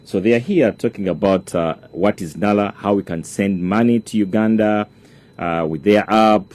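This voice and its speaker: A man hosting a radio interview in a halting way, restating things as he introduces guests and puts questions. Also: fairly low pitch, darkish tone.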